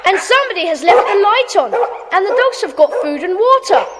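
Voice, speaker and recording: Excitable schoolboy, defiant spark, very high lively voice, emphatic, audiobook play. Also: female voice, brisk, a little hiss or hum. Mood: excited. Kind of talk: children's audiobook drama acting.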